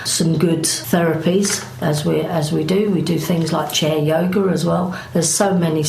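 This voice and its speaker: A woman's voice: fairly low pitch, darkish tone. A woman with an English accent, a charity worker answering interview questions informally. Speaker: mental health charity worker. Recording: echoey room with background noise.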